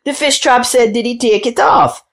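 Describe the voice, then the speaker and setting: A woman voicing an excitable male fisherman in a folk tale, exclaiming in an agitated manner. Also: on the high side, moderate pace, pitched above the performer's own voice. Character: fisherman, curious then panicked, excitable man.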